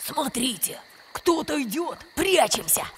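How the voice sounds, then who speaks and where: A woman's voice: high voice, animated, a little slow. A woman voicing a sweet but direct female winged zebra in a cartoon, full of questions. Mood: hushed. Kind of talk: cartoon voice acting. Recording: loud music behind the voice.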